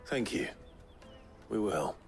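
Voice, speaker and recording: Fantasy game hero, deep, terse, restrained and earnest, light music behind. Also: male voice, lively intonation, slow, creaky, exaggerated voice. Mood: resolute.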